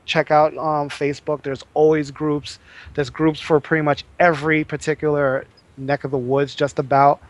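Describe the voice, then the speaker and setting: Man explaining steadily, slightly high voice. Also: moderate pace. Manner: steady, explanatory, frequent ums. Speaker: podcast guest. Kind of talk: casual podcast advice.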